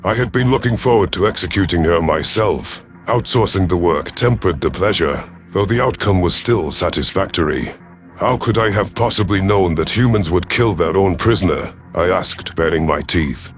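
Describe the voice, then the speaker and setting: A man voicing a proud, vengeful elderly alien reptilian commander in an audio drama, speaking in a formal, measured, sardonic way. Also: low voice, faint music behind. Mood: guarded, defiant. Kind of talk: audio drama first-person narration and dialogue.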